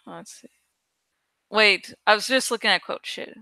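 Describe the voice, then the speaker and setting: A woman's voice: mid-range voice, a little slow, gravelly, bright, forceful tone, no room sound. A woman chatting casually and thinking aloud while she works, rambling, swearing and sometimes searching for words. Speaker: online creator and host. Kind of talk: casual rambling chat while working on a graphic.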